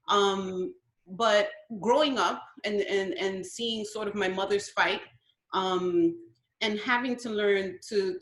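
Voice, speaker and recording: A woman raised in Brooklyn whose was Spanish, speaking earnestly on a video call and pausing to search for words. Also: mid-range voice, reverberant, rough recording. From Brooklyn, New York. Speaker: guest.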